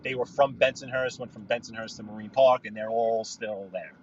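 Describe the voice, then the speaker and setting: A man's voice: fairly low pitch, steady tone, fairly quick, dull audio, slight background noise. A man chatting on a live podcast from his car, telling stories in a rambling way with many restarts. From New York. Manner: rambling, storytelling, frequent restarts. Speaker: livestream guest. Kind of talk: casual live podcast chat while driving.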